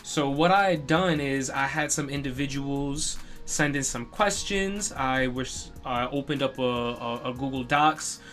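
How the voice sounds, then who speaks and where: A man's voice: mid-range voice, a little slow, hardly any pauses, a bit bright, faint music behind. A young man hosting a live stream, talking in a casual, chatty way with lots of "you know" fillers. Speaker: streamer and podcast host.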